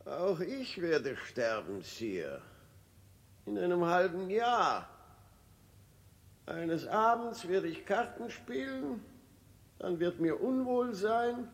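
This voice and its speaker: An actor playing a gloomy, deferential manservant in a 1960s German radio play, speaking solemnly and haltingly. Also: male voice, high voice, vocal fry, heavily acted. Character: gloomy, deferential royal manservant foretelling his death. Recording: long hall reverb, distant.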